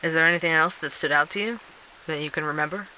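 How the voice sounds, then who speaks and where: A man's voice: a little high, fast, pushed and bright, slight background noise, low-quality recording. An actor playing a curious male investigative podcaster, who narrates and probes with questions. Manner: inquisitive, probing.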